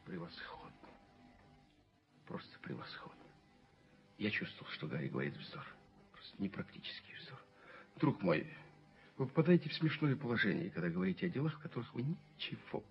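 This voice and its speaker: Casting: snobbish, prim gentleman companion, gravelly and extremely animated, theatrical; vintage radio drama. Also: lowish voice, brisk, pauses often. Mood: condescending. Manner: theatrical, fussy, swooping. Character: fussy, snobbish gentleman companion, theatrically scandalized by bad manners. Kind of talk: 1970s Soviet radio play acting. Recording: muffled old radio recording.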